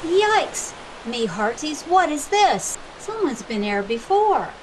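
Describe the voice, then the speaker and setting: A woman voicing a boisterous, bossy, excitable male pirate captain with hearty exclamations in a children's storybook. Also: sits high, slow pace, slight background noise, pitched above the performer's own voice, exaggerated voice, rough recording. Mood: startled. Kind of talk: children's storybook read-aloud.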